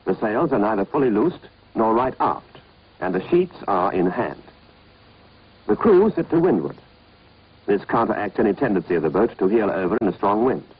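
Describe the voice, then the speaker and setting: A man's voice: fairly low pitch, animated, moderate pace, slightly rough. A British man narrating an instructional training film in a clipped, scripted, instructive manner. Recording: dull, band-limited old film soundtrack.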